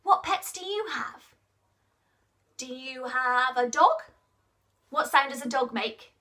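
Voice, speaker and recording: A woman narrates an interactive storytime for young children. Her voice is very high and bright, and she takes her time. She speaks expressively, putting questions to her listeners and coaxing them to join in. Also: lively intonation, slow pace, recorded from a distance.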